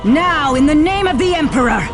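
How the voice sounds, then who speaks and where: High-voiced fervent warrior sister. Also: female voice, animated, normal speed. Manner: reverent, declamatory. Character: fervent, commanding female warrior of a holy order. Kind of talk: theatrical video game voice acting. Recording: music behind the voice. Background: game music.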